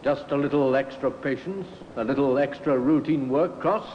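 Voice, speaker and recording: A man's voice: medium pitch, moderate pace, slight background noise, close to an everyday voice. Man as a modest police inspector, reverberant. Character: male police inspector, patient and modest senior detective. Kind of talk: 1950s radio acting. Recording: muffled echoing old radio recording.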